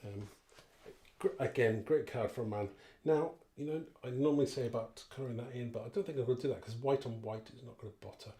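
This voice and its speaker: Dark-voiced man thinking aloud in a roomy space. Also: mid-range voice, fast. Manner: informal, thinks aloud while working. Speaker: card making demonstrator. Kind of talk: casual live craft demo talk. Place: indoor craft room. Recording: roomy echo, clear background noise, clicks.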